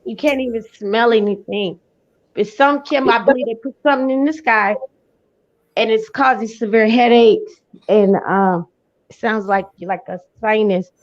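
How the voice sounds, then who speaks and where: A stuffy, congested woman from Las Vegas chatting excitedly on a phone during a live stream. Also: a little high, moderate pace, highs cut off. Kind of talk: casual live-stream chat.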